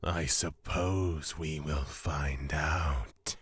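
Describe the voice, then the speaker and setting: A man playing a languid, wry god in a fantasy audio drama, with a gravelly, husky voice and a sardonic drawl. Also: very deep voice, fairly even intonation, extremely slow, dry recording, clean studio sound, heavily acted. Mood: resigned.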